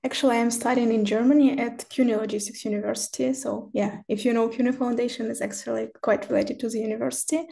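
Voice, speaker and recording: A woman's voice: a little high, steady tone, fast talker, creaky, few pauses, dark tone. A woman in her twenties from Ukraine, an exchange student introducing herself briefly on a video call.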